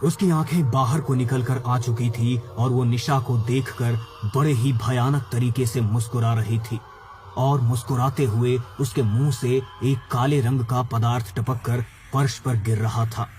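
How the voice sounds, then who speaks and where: A man's voice: mid-range voice, fairly even intonation, a little slow, darkish tone, slight background noise. A man narrating a scripted horror story as its storyteller. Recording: background music.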